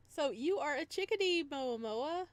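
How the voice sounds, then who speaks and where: A woman's voice: sits high, no echo. A bubbly, giggly woman hosting a livestream, chatting casually with viewers and reading a visual novel aloud. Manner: bubbly, giggly, rambling reactions to chat and story. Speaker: livestreamer and host.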